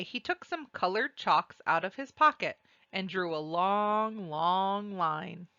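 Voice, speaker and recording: A woman reading a children's storybook aloud and describing its pictures, with deliberate, expressive phrasing. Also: fairly low pitch, no room sound, dull audio. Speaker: read-aloud narrator.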